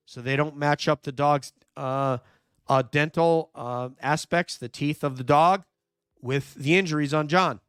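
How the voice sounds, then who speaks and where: A hoarse man, nearly losing his voice, hosting a true crime livestream, talking emphatically and answering viewer chat. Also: fairly high pitch, a bit bright, no room sound, clean studio sound. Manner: emphatic, repeats "no question", thinks aloud with fillers. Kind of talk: live commentary reading viewer chat.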